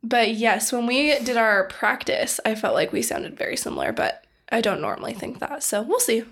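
A woman's voice: fairly high pitch, normal speed, creaky, a little hiss or hum. Young woman co-host, just over a cold. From Oregon. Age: young adult. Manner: laughs often, relaxed and chatty. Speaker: podcast co-host. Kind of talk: casual chat.

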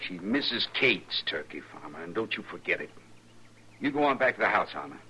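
Defiant rural American rancher, radio western. Short-fused, combative, tense forceful voice over light hiss. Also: male voice, deep voice, sing-song, a little fast, exaggerated voice, rough recording. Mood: angry, defiant. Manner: combative, curt. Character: hot-tempered, defiant rancher, jealous husband, protective father. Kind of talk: 1950s radio western acting. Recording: faintly hissy old radio recording.

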